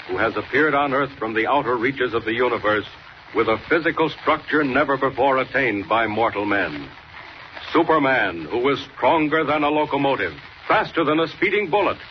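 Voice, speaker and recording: A man announcing a 1940s radio serial, reading scripted narration in a dramatic, declamatory way. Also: mid-range voice. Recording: dull, noisy old radio recording.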